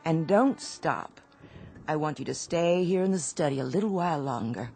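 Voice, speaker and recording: An actress in a radio drama playing a genteel, demanding wife with a languid manner. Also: female voice, low-pitched, expressive, normal speed, creaky, darkish tone, soft background music. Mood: languid, content.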